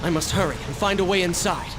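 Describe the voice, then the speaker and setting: Young heroic prince for a game male actor, sincere, dramatic, highish voice, heavy music behind. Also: fast talker, natural voice. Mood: urgent. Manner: earnest, dramatic. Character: earnest, noble young warrior prince. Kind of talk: video game voice acting.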